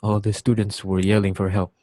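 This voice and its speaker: A man's voice: lowish voice, flat delivery, brisk, darkish tone, dry recording, clean studio sound. A young man, a student, reading scripted narration for a reader's theater piece. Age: young adult.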